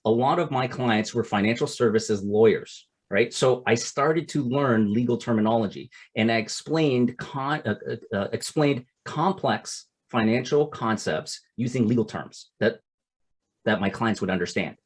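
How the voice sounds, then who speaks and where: This is a middle-aged man teaching a seminar, with a somewhat husky, breathy voice at a mid pitch. He talks in a loose, conversational way, restarting phrases and checking in with "right?" as he goes. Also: moderate pace. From Canada. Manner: conversational, repeats words and restarts, tags "right?". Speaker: investment coach. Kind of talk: seminar talk.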